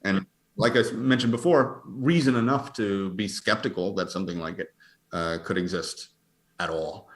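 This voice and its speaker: A man with a fairly low voice gives conversational interview answers as an astronomer. He speaks in a halting, hedging way, feeling out his phrases as he goes. The recording is roomy, with clear echo around the voice. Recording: roomy, echoing sound.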